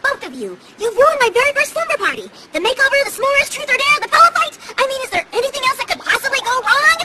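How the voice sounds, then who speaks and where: A woman's voice: very high voice, big pitch swings, fast talker, heavy background noise. A woman voicing an earnest, bookish young female pony in a cartoon, precise and a little fretful in manner. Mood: exasperated. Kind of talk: cartoon voice acting. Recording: loud music under the voice.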